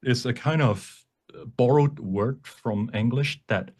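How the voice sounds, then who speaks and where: A man presenting a live software demonstration at a workshop, explaining as he goes in a halting way. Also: medium pitch, husky. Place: online presentation at a computer.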